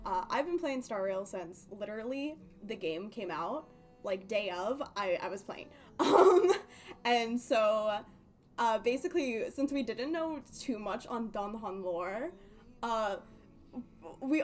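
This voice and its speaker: High-voiced, rambling woman streamer. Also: a little mellow. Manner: rambling, giggly, nervous. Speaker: livestreamer. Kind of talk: casual game stream chat.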